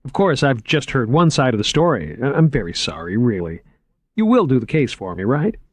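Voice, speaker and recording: An eager young museum curator with a raised, lively voice, as a male narrator puts him on. He gushes about his work and stammers when caught off guard. The sound is dry and close. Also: mid-range voice, brisk, no room sound, pitched above the performer's own voice. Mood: flustered.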